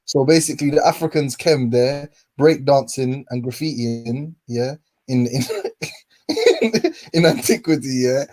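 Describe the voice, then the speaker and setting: A man's voice: mid-range voice, expressive, a little slow, a little mellow. A young man, hosting a casual chat and teasing his guest with laughing, slangy banter. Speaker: host.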